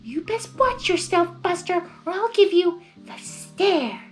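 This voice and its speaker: Woman as very high-pitched, indignant little pony. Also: very animated, slow pace, faint music behind, exaggerated voice. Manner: emphatic, scolding. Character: indignant female pony, sweet but boldly scolding. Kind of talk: fan fiction character reading.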